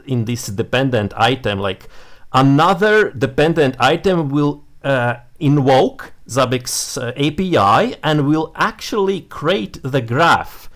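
A young man with a Latvian accent, a native Latvian speaker, walking through a software setup on a screen share in a small room, talking with many fillers and restarts. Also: medium pitch, animated, takes it slowly. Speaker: software tutorial presenter.

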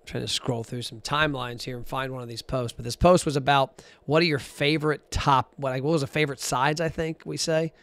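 A man's voice: mid-range voice, fairly even intonation, brisk, a bit bright, no room sound. A man hosting a solo podcast, talking bluntly and casually, sometimes counting numbers aloud as he scrolls. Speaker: podcast host.

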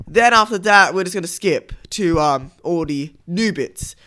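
A man hosting a gaming video, giving casual, halting commentary over cutscenes. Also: high-pitched, animated, pushed and bright.

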